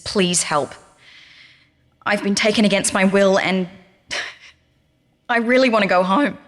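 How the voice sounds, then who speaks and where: A woman playing a frightened, distrustful kidnap victim in an audio drama, pleading haltingly for help. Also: medium pitch, a bit bright. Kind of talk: audio drama recorded as voice message.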